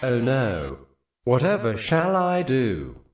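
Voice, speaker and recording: A man's voice: medium pitch, huge pitch swings, slow, voice pushed down. Man playing an echoey, theatrical lovelorn robot. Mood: mock horror. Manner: theatrical, overwrought, declaiming. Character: melodramatic lovelorn male robot performer in a mock opera. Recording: echoey, distant, loud game music. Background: video game music.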